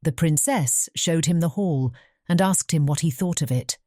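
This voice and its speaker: A woman's voice: low-pitched, normal speed, warm tone, no echo, studio quality. A woman reading scripted storybook narration as an audiobook narrator.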